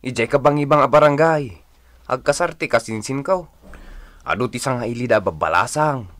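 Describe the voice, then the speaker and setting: A man's voice: medium pitch, lively intonation, normal speed, a bit bright, no echo, lightly acted. A man playing a coaxing young buddy in a radio drama, with an Ilocano accent. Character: coaxing young male buddy urging a night out.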